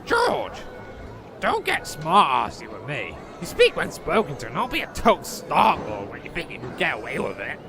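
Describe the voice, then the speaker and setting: A man's voice: a little high, wildly swooping pitch, normal speed, vocal fry, crowd noise, heavily acted. A man voicing a foul-mouthed, short-tempered, bossy adventurer in a comedic fantasy audio drama, ranting and sarcastic. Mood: irritable, aggressive. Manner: crude, ranting, sarcastic, swinging into shouts.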